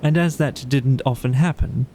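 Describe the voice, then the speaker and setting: A man's voice: medium pitch, normal speed, dark tone, slight background noise. A man narrating a children's bedtime story as an audiobook reader.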